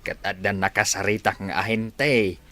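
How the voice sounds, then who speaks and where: An actor with an Ilocano Filipino accent playing a friendly, deferential farmhand in a radio comedy drama. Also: male voice, lowish voice, steady tone, normal speed, sharp tone, faint music behind. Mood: respectful, chatty. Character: friendly village farmhand, deferential to his elder.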